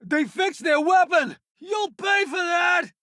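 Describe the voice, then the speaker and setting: A man's voice: extremely high pitch, expressive, slow pace, bright, forceful tone, no echo, raised voice, studio quality, heavily acted. A man playing a flamboyant, wisecracking celebrity food critic turned spaceship crewmate in a video game, glib, theatrical and sardonic. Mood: battle excitement. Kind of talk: video game character voice acting.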